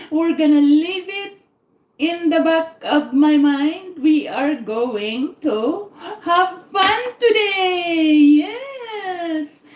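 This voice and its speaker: A woman's voice: high voice, darkish tone, roomy sound. A middle-aged woman from the Philippines with a Filipino accent, chatting in a rambling, repetitive way on her cooking live stream, laughing often. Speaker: cooking vlogger. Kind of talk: live-stream casual chat.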